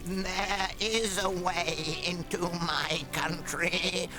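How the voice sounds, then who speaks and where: An actor playing a gentle talking lamb with quiet authority in a radio drama, slow and solemn. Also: male voice, high-pitched, steady tone, over loud music.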